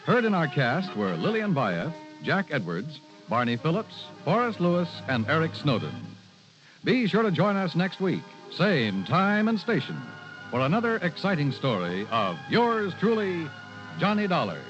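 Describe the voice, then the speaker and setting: A man's voice: fairly high pitch, big pitch swings, measured pace. An American man reading scripted credits as a 1950s radio announcer. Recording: muffled vintage radio with music behind. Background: music.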